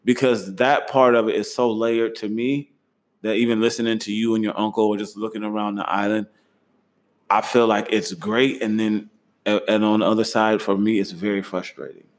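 A man's voice: lowish voice, normal speed. Slangy, laid-back young man hosting. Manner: relaxed, slangy, laughs easily. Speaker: host. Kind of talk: casual travel chat.

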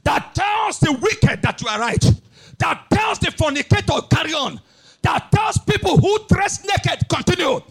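A man preaching a fiery sermon, shouting and chanting in a sing-song cadence, repeating phrases and breaking into tongues. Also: very high voice, expressive, measured pace, gravelly.

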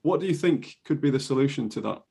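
Male interviewer, husky, breathy, measured questions, off-mic and distant. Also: mid-range voice, lively intonation, dark tone. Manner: measured, probing questions with repetitions.

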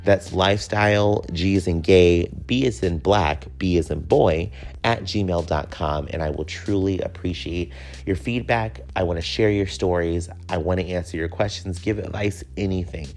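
A man's voice: deep voice, moderate pace, darkish tone. A man hosting a podcast, talking casually and candidly in a personal, conversational monologue with some casual swearing. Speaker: podcast host.

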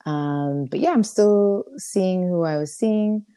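A young woman with a raspy voice co-hosting a podcast, talking casually and emphatically, with many fillers. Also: slow, dark tone. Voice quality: raspy, low voice. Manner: emphatic, preachy about self-growth, with fillers. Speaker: podcast co-host. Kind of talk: casual chat.

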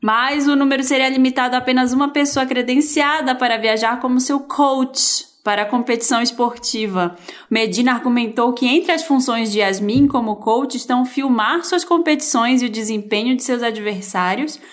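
A woman's voice: fairly high pitch, normal speed. A woman, a podcast co-host reading the news aloud in casual chat.

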